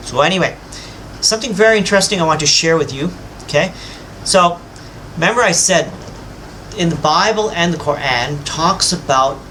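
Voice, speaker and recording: A man's voice: on the high side, bright, forceful tone, a little hiss or hum, low-quality recording. A man giving an emphatic, lecturing rebuttal, speaking straight to his opponent and boasting about his own knowledge. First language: English. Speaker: self-styled religious teacher rebutting a scholar. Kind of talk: combative rebuttal monologue.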